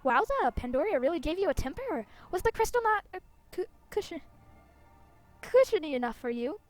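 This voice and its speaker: A woman reading out the lines of a taunting female dark rider villain in a fantasy game. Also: very high voice, very animated, a little fast.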